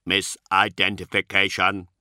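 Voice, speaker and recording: A man voicing a cold, formal male tech priest whose synthetic voice is harsh and atonal, spoken slowly and precisely. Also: sits low, completely flat delivery, sharp tone, no echo, clean studio sound, heavily acted. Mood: measured. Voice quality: harsh, atonal, strangely inflected. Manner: slow, clipped, precise delivery.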